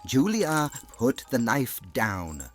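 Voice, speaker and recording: An actor playing a cold, gloating regime villain in an audio drama, speaking with mock-polite, deliberate menace. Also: male voice, mid-range voice, huge pitch swings, faint music behind. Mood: sinister control.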